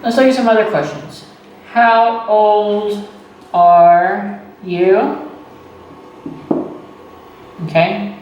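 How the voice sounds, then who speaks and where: A man, teaching beginner English slowly and patiently, repeating phrases and checking in with "okay" and "right". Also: sits high, muffled recording. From Texas. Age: 30s. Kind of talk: slow, deliberate beginner English lesson. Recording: echoey room, voice far from the mic, faint noise.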